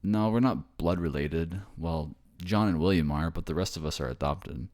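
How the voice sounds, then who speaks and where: A man performs an affectionate boyfriend in an ASMR roleplay. His voice sits low, with a gentle, casual delivery. He reassures and explains like someone talking to a partner he loves. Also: moderate pace, lightly acted. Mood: tender, explaining. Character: affectionate young boyfriend raised by a ghost family.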